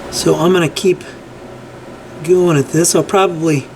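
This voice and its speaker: A man's voice: fairly high pitch, steady tone, unhurried, noisy background. Chatty man explaining a repair at his bench. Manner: conversational, frequent "right?" and restarts. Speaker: repair channel host. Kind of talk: casual repair tutorial. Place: workbench room.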